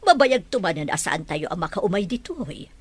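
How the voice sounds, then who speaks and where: Low, husky mother played by a woman. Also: very animated, moderate pace. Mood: patient. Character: patient, reasoning mother staying in a remote village. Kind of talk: scripted Ilocano audio drama.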